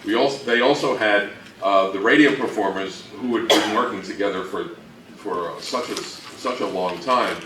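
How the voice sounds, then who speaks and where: A man giving an informal, explanatory talk on radio history as a presenter, speaking somewhat haltingly. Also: on the low side. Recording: roomy, distant mic, faint noise.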